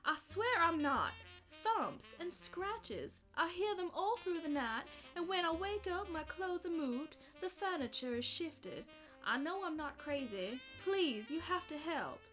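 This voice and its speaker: A woman's voice: very high-pitched, sing-song, a little slow, a bit bright, soft background music, full character voice. An actress playing a mysterious, anxious woman seeking a detective's help in a noir radio play, earnest and dramatic. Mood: anxious, pleading. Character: mysterious, anxious, pleading female client of a detective.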